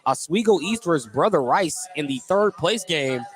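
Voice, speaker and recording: A man's voice: fairly high pitch, lively intonation, normal speed. A man doing live radio play-by-play of a basketball game in clipped, descriptive running calls. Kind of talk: live radio sports commentary.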